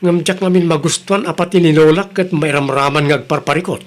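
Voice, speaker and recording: A man's voice: on the high side, fairly even intonation, fast, slight background noise, lightly acted. A man with a Filipino accent playing an aggrieved, earnest young grandson with a prison past in an audio drama. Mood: indignant. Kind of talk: audio drama acting in Ilocano.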